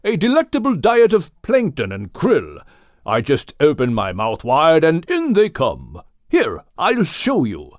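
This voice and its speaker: Guy doing a posh british whale gentleman, very polite and old-fashioned, storybook voice, lively pitch, dry close sound. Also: measured pace, no room sound. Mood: genial, courteous. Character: genial, courteous upper-crust male whale. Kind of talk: audiobook character acting.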